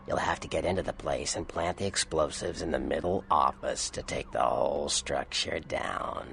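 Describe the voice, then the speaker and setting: An actor playing a gruff, commanding male mission-giver in a vampire video game, with a gravelly voice. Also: extremely low pitch, steady tone, normal speed, fairly bright tone, exaggerated voice. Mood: stern. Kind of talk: video game character acting.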